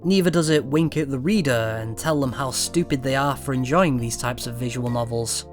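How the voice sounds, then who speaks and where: A man hosting a video review, reading a scripted narration in a measured way with trailing asides. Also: mid-range voice. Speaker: video game reviewer, channel host. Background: music.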